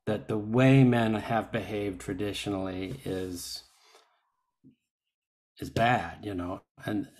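An older man talks reflectively on a video call. His pace is markedly slow and his pitch fairly low. He weighs his phrases with earnest deliberation. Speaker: actor. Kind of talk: reflective discussion.

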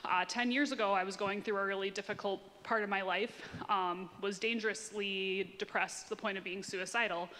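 A woman's voice: mid-range voice, steady tone, normal speed, hardly any pauses, sharp tone. A woman in her thirties telling a personal story to a congregation in a candid, informal way. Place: church sanctuary, standing. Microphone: handheld microphone. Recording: reverberant church hall.

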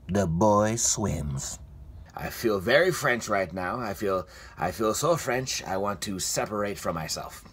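A man in his thirties, a comedian, talking casually and jokingly to his phone camera, held at arm's length, aboard a cruise ship. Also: lowish voice. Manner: relaxed, self-mocking, chatty. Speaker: comedian vlogger. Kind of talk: casual vlog. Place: cruise ship cabin and theater.

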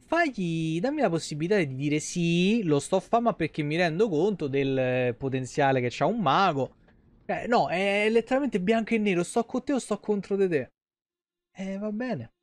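A man's voice: sits high, expressive, normal speed. A man giving colloquial, rambling game commentary into a close microphone. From Italy. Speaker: live game streamer. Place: small indoor streaming room. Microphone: close desk microphone.

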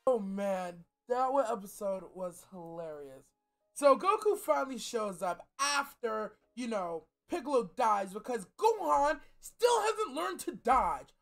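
A young man hosting a reaction show in casual, joking, animated commentary. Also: very high-pitched, measured pace, gravelly, soft background music. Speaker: reaction channel host. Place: small furnished game room indoors.

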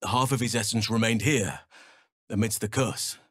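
An actor voicing a wise, gentle and grave archdruid in a fantasy video game, with measured, formal and thoughtful phrasing. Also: male voice, fairly low pitch, breathy edge, dry recording, clean studio sound.

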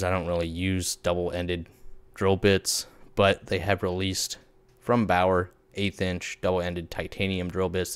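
A young man giving a casual rundown of new tools, relaxed and plain-spoken, with frequent filler words. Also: deep voice, smooth. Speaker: tool reviewer. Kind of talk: casual screen-share product rundown.

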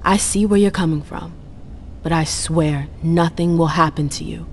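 Female vampire envoy for a game: low register, assertive, persuasive, blunt, faint background noise. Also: steady tone, normal speed, a little mellow. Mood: earnest, reassuring. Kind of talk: video game cutscene acting.

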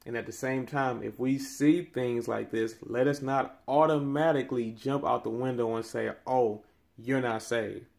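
A man in his thirties talks through scripture in an earnest, preacherly way, his voice climbing higher and stronger whenever he drives a point home. His pitch sits in the ordinary middle range for a man. He records on a phone held close in a small room. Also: fairly even intonation, unhurried, gravelly, a little mellow. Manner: preacherly, rising in pitch and force on key phrases. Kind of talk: earnest Bible-teaching commentary. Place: small room indoors by window blinds. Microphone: phone camera held close.

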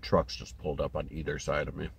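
Deep-voiced midwest dude in his 30s, stuffy nose, filming a snack review on his phone in a parked car, ums a lot, car hum behind. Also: fast, vocal fry. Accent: Upper Midwest American. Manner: relaxed, many filler ums, talks while eating. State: slightly congested. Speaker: food reviewer vlogger. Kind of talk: casual food review vlog. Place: parked car, indoors, small cabin. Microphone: phone on dash holder. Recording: phone in car with steady background noise.

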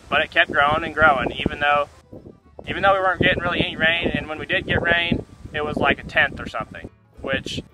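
A man's voice: on the high side, poor audio. A young man, a farmer, talking earnestly to the camera outdoors by a pasture, repeating words as he goes. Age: young adult. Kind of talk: casual talk to camera. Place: outdoors by a pasture fence under a tree.

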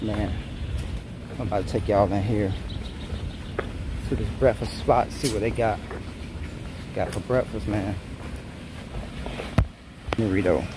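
A man's voice: fairly low pitch, background noise. A man in his thirties vlogging casually from a resort, talking into a phone camera at arm's length, laid-back and hyping his workout. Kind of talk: casual vlog chat. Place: resort gym, then walking outdoors and a buffet. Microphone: phone camera held at arm's length.

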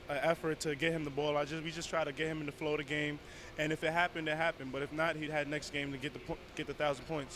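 A young college basketball player giving quick, matter-of-fact postgame answers in a gym. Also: male voice, on the high side, a bit bright, slight background noise. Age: young adult.

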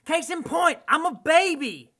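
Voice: high voice, lively intonation, unhurried, fairly bright tone, exaggerated voice. A performer voicing a brash baby puppet hustler with pushy, slangy sales patter in a comedy parody. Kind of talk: sock puppet parody acting.

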